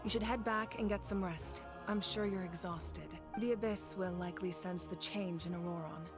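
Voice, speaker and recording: A woman's voice: lowish voice, steady tone, natural voice. Woman as a composed, resolute leader over music. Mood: calm. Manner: measured, authoritative, formal. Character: composed, resolute female leader, dutiful and dignified. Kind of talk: video game voice acting.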